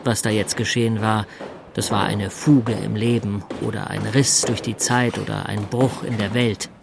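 An actor playing a sober systems consultant, a smitten city single, matter-of-fact in a German radio play. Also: male voice, on the low side, little pitch movement, moderate pace, gravelly, noisy background. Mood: amazed.

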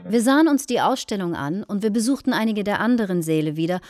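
Actress as brittle, animated, mock-polite hostess. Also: female voice, mid-range voice, quick pace, soft background music, lightly acted. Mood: storytelling. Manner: pointed, mock-polite, theatrical. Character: brittle, sarcastic wife and insistent hostess telling anecdotes. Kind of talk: German radio play acting.

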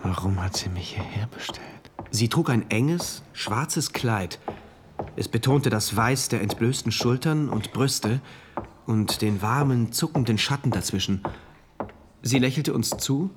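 An actor playing an earnest, devout citizen of a regimented future state, narrating his diary in a measured, confiding and precise way. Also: mid-range voice, background noise, close to an everyday voice. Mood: fascinated, uneasy. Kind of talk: first-person radio drama narration, diary entries.

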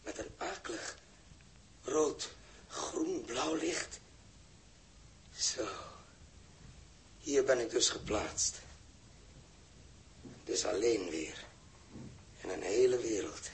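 An actor playing a lone, dazed but dutiful male astronaut, halting and repeating phrases in a 1960s Dutch radio drama monologue. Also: medium pitch, gravelly. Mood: lonely awe. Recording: noisy early-1960s radio drama recording.

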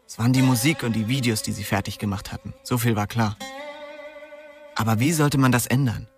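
A man's voice: medium pitch, brisk, faint music behind, natural voice. An actor playing a weary, bitter young man who narrates his own crime story tersely in a radio drama. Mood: brooding, resigned. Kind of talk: scripted first-person radio drama narration.

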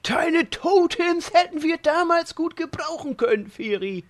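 An aged, retired warrior in a comic audio drama. The voice is extremely high and strained, and it drags slowly as he grumbles suspiciously about everyone and harks back to his glory days. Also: expressive. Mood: grumbling, nostalgic. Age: older. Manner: grumbling, loud complaining. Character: cranky old retired warrior uncle, suspicious and nostalgic. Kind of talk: parody audio drama acting.

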